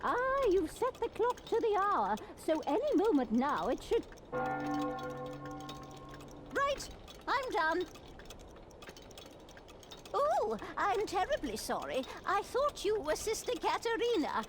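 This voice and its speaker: A woman voicing a slightly deaf nun clockmaker in a video game, distracted, gentle and apologetic as she works. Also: extremely high pitch, big pitch swings, measured pace, background noise, exaggerated voice. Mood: busy, distracted. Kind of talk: video game character dialogue.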